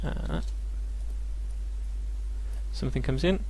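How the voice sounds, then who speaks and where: A man's voice: mid-range voice, sing-song, slow, warm tone, a little hiss or hum, low-quality recording. A young man, a native Danish speaker, teaching maths in a casual live tutorial, hesitant and full of fillers and restarts.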